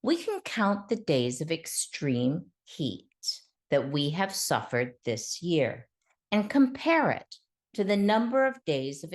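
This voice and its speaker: A woman's voice: on the low side, a little mellow, full character voice. Woman as little mouse deer, female, storybook, earnest, kind, pleading, melodic.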